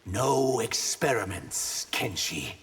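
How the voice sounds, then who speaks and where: A man's voice: mid-range voice, lively intonation, slow, very noisy. An actor, a man, playing an earnest, idealistic reptilian emissary in a video game, speaking sincerely. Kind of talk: video game fight banter. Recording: loud music behind.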